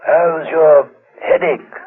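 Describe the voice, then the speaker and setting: An actor playing a stern, controlling older uncle who admonishes in a patronizing, insistent way in a radio drama. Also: male voice, on the high side, fairly even intonation, slow, husky. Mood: falsely solicitous. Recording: muffled lo-fi radio recording.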